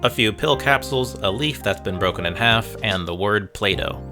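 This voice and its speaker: A man's voice: fairly low pitch, expressive, normal speed. A man narrating a scripted video essay in a dry, wry manner. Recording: music bed behind voice. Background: background music with guitar.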